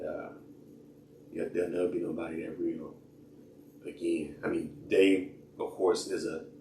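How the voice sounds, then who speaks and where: A hoarse man losing his voice, hosting his own channel in a rambling, casual way. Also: deep voice, darkish tone. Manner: rambling, full of fillers and restarts. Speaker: channel host. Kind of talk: casual rambling vlog talk. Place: indoors in a room. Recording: echoey room, mic at a distance, faint noise.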